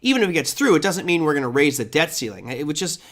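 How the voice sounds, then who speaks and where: A middle-aged man hosting a live market commentary show, his voice crackly and hoarse from a long day of teaching, talking in a casual, blunt, opinionated way with frequent restarts. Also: a little high, sing-song, fast, fairly bright tone. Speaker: host.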